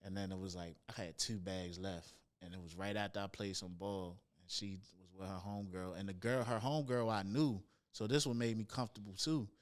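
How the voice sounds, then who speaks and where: A young man with a distinctly nasal voice sits in as a rapper guest, spinning his stories in loose, laid-back slang. His voice sits a little low and comes through smooth and clean on a close studio mic. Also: steady tone, a little fast, hardly any pauses. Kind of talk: casual interview storytelling. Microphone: studio podcast mic near the nose.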